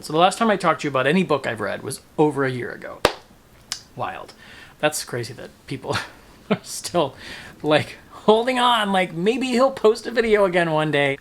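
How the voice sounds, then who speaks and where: A man's voice: a little high, a bit bright. Casual man chatting over background noise. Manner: informal, emphatic, musing aloud and second-guessing himself. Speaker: book reviewer, vlog host. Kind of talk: casual chatty book review vlog.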